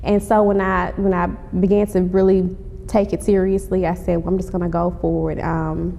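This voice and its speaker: A woman's voice: level tone, normal speed, mellow. A woman giving polite, conversational answers as an interview guest. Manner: polite, says ma'am to the interviewer. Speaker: interview guest, playwright and actress promoting her stage play. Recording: echoey hall, clear rumbling background noise.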